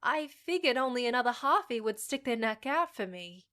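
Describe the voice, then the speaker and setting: An actress playing a frank, wry half-human job seeker in a fiction podcast, candid and slightly awkward. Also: female voice, a little high, big pitch swings, fairly quick, bright, forceful tone.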